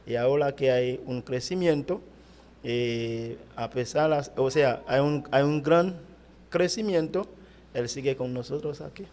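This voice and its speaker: A middle-aged Haitian man, a pastor whose first language is Haitian Creole, speaking Spanish haltingly with a Haitian accent in an interview. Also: medium pitch, a little mellow. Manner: halting, searching for words in Spanish. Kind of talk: interview answers.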